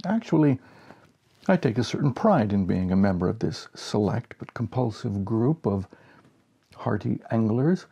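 A man in his sixties reads a humorous story aloud with expression. His voice is mellow and husky, with a gravelly fry running through it. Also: on the low side, animated, a little slow, dull audio. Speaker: story reader. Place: small home office, indoors.

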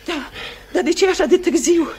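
A woman's voice: extremely high pitch, mellow. An actress playing an anxious, emotional lady landowner in a theatrical radio drama. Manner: pleading, theatrical. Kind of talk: 1950s radio theatre acting.

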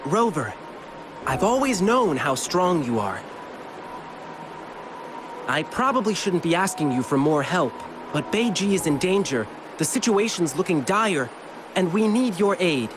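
A man's voice: high-pitched, big pitch swings, measured pace, long pauses, background noise. A man playing an earnest, protective young hero in a video game, with a polite, reassuring way of talking. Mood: urgent, earnest. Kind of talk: scripted video game voice acting. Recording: game music under the voice. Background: background music.

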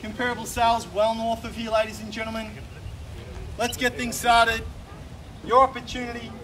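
A man in his thirties with an Australian accent, calling a live house auction outdoors in brisk, persuasive patter that keeps repeating the bids. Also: very high-pitched, monotone. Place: outdoors on a suburban street in front of a house. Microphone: camera filming from some distance, no mic seen. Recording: distant voice with loud street and traffic noise.

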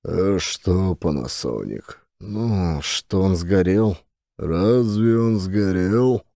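A man's voice: sits low, lively intonation, very slow, gravelly, dark tone, dry recording, studio quality, exaggerated voice. A man voicing a timid, bewildered middle-aged scientist in an audio story, hesitant and plain.

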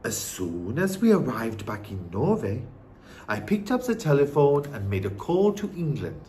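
A man voicing a calm, wise old grandmother in a storybook, speaking softly and reassuringly in a measured, hushed way. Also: mid-range voice, a little hiss or hum, close to an everyday voice. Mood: calmly knowing.

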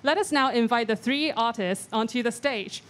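A woman's voice: on the high side, a bit bright. A young woman moderating a panel talk in a large gallery, speaking politely into a handheld mic and reading from notes. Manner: polite, measured, reads from notes.

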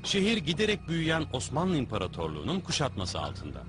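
A man's voice: a little high, wildly swooping pitch, quick pace. A man reading scripted documentary narration. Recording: music bed under narration.

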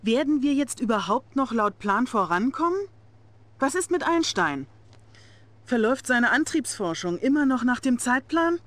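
A woman's voice: a little high, big pitch swings, moderate pace, a bit bright. An actress playing a young female Soviet officer in a game cutscene, controlled and authoritative. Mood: coolly critical. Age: young adult. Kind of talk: video game cutscene acting.

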